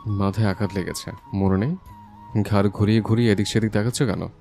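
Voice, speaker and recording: A low-voiced young husband, played by a woman narrator, gruff and scolding in a romance audio story. He fires off curt, exasperated lines at a quick pace, with faint music behind. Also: fairly even intonation, dark tone.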